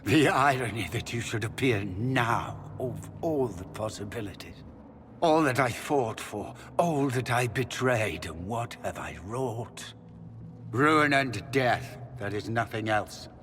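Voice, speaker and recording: Slow, grave, broken older magister. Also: male voice, mid-range voice, lively intonation, fairly bright tone, a little hiss or hum. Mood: bitter resignation. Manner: grave, theatrical, resigned delivery. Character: broken, remorseful middle-aged magister and desperate father. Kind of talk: dramatic video game dialogue.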